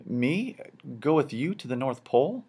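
A man putting on a meek, modest house painter who talks in a raised, eager, somewhat breathless voice. He is humble and easily excited. This is storybook narration. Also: mid-range voice, normal speed, voice pushed up. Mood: humble surprised.

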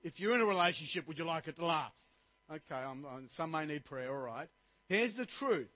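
A man's voice: fairly high pitch, expressive, fairly quick, sharp tone, dry recording. A middle-aged man, preaching a sermon as pastor, rising to emphatic points. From Australia.

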